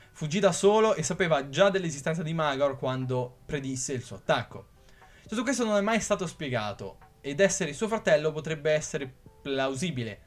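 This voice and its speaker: A man narrating a scripted explainer video, reading from a script and stumbling now and then. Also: a little high. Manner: reads from a script, occasional stumbles. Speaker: YouTube creator.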